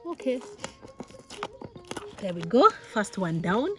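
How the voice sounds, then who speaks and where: A young woman from Uganda, a vlogger, unboxing outdoors in a village yard, talking with giddy excitement, laughing often and exclaiming. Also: mid-range voice, takes it slowly. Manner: giggly, breathless with excitement, frequent laughs and exclamations. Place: outdoors in a rural village yard, seated on a mat.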